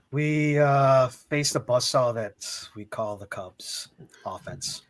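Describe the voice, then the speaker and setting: A man co-hosting a casual sports podcast, talking in a halting way with frequent restarts. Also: medium pitch, no room sound. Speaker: podcast co-host.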